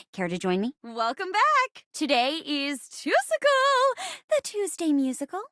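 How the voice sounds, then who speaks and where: High, animated, flirty theatre chair. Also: female voice, unhurried, dry recording, clean studio sound, full character voice. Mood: showy, inviting. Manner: bubbly, theatrical, stammering when flustered. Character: living chair, eager theatre actress, flustered and flirty. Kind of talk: video game character voice acting.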